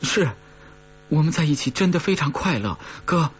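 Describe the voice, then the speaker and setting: Man putting on a flustered young woman, higher-pitched. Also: animated, normal speed, breathy edge. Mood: earnest pleading. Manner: earnest, pleading, hesitant. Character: flustered young woman pleading with her older brother. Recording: dull recording with faint hum.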